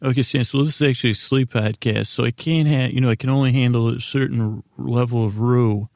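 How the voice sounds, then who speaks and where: A man hosting a sleep podcast in a casual, meandering monologue, halting and mumbling in a self-deprecating way. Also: mid-range voice, steady tone, vocal fry, a little mellow, no echo, clean studio sound. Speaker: podcast host.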